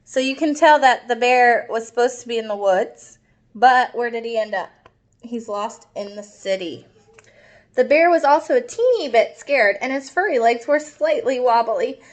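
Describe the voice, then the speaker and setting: A woman's voice: on the high side, animated, normal speed. A woman reading a picture book aloud to children expressively, with explanatory asides to her listeners. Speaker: storybook reader.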